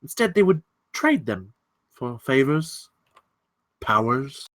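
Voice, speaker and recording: An actor playing a menacing, courteous gentleman villain in a script table read, telling his tale in deliberate, ornate, theatrical phrasing. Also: medium pitch, sing-song. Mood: sly storytelling.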